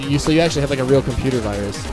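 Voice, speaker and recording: A man hosting a fighting game tournament stream, calling the action in a relaxed, chatty way. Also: medium pitch, rapid-fire, over music. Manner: laid-back, chatty, frequent fillers. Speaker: tournament stream host and commentator. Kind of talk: casual esports play-by-play.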